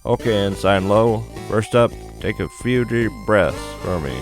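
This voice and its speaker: A man's voice: lowish voice, measured pace, music in the background, pitched above the performer's own voice. Man acting out a breezy military flight deck engineer. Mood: reassuring.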